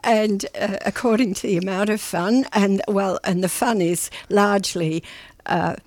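A woman presenting a community radio show, chatting casually with a colleague, slightly croaky today, with lots of fillers and laughs. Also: medium pitch, steady tone, unhurried, a little mellow, no room sound. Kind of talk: casual on-air chat. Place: radio studio. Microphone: studio microphone.

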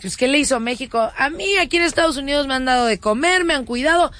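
A woman's voice: sits high, expressive, fast talker, fairly bright tone, dry recording. A woman hosting a call-in radio show, flirting with callers and calling them pet names. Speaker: radio host. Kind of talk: playful call-in banter.

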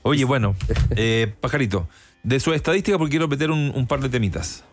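Chilean guy hosting, mid-pitched voice, chatty and slangy, faint music behind. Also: expressive, a little fast. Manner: colloquial, chatty. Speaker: radio show host. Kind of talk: casual chat.

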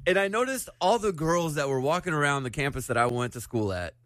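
A man's voice: a little high, lively intonation, brisk, fairly bright tone, dry recording, studio quality. A middle-aged man hosting a radio show, chatting casually and telling stories with frequent laughs. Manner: chatty, laughs often, storytelling. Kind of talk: casual morning show banter.

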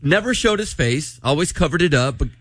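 A man's voice: fairly high pitch, expressive, fairly quick, fairly bright tone, no room sound. A man hosting a talk show, speaking in casual, chatty radio banter.

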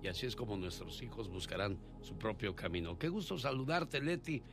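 Man, radio call-in host and storyteller, very lively melodic delivery over clear background music. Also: on the low side, fairly quick.

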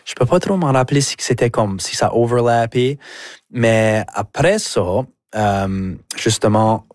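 A man's voice: medium pitch, measured pace, darkish tone, no room sound, studio quality. A man with an Acadian French accent, a musician chatting casually in an interview, telling anecdotes peppered with English words. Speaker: musician guest.

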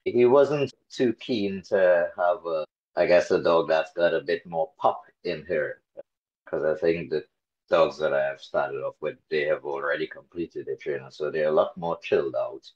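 A man in his forties from Trinidad and Tobago, talking casually as an interview guest, relaxed and a bit hesitant with many fillers. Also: extremely low pitch, normal speed, highs cut off. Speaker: interview guest, dog carer volunteer.

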